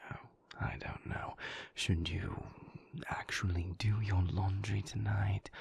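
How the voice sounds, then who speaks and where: Moody male vampire lover, acted by a man, gravelly and very breathy, cutting and deliberate, intimate roleplay audio. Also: low voice, darkish tone, dry recording, exaggerated voice. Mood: dismissive, mocking. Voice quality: breathy, husky, gravelly half-whisper. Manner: sardonic, cutting, deliberate phrasing.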